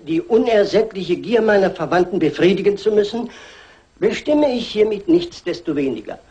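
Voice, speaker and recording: A performer playing a dry, formal reader of a will in a crime radio drama, with measured delivery. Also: medium pitch, darkish tone, breathy edge, dull audio.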